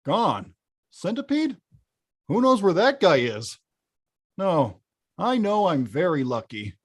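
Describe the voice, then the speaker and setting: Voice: medium pitch, very animated, slow pace, husky, heavily acted. An actor playing a huge, hot-tempered, weary arcade-game villain who confides wryly and grumbles conversationally in a table read. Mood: resigned, wistful. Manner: conversational, grumbling, bursts into bellows. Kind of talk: table-read acting, confessional voice-over.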